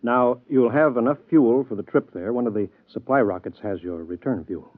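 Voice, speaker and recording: An actor playing a businesslike space program chief with dry humor, in a 1950s radio drama. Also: male voice, medium pitch, normal speed, no room sound, narrow, tinny audio, natural voice. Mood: brisk. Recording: dry telephone-thin radio broadcast.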